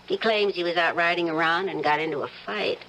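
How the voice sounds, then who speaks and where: Actress as an attentive, genteel radio-drama wife. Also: female voice, lowish voice, brisk, a bit bright, slight background noise, lightly acted, rough recording. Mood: chatty, concerned. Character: attentive, chatty wife of a prominent man, gently concerned. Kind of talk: old-time radio drama acting.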